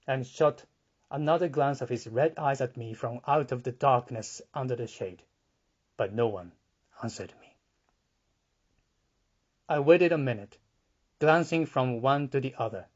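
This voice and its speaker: Very slow, measured male story narrator. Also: medium pitch, darkish tone, muffled recording. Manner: measured, deliberate. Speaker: audiobook reader. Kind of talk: dramatic literary narration.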